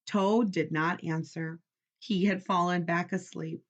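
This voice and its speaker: A woman teacher reading a storybook aloud to children, expressive and instructive, pausing to ask listeners questions. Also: fairly low pitch, highs cut off. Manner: expressive, instructive, checking understanding.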